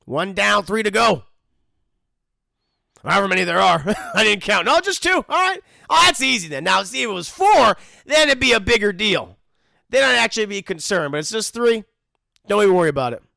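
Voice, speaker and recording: A man's voice: high voice, very animated, moderate pace, creaky, sharp tone, dry recording, clean studio sound. A man casually joking through a cartoon episode commentary, often mock-voicing the characters. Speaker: commentary host.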